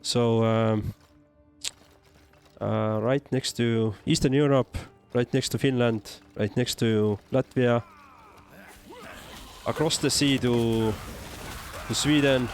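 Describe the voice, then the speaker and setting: A man's voice: mid-range voice, steady tone, takes it slowly, long pauses, faint music behind. A man from Estonia with an Estonian accent, giving casual livestream game commentary and answering chat in a hesitant, thinking-aloud way. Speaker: game streamer.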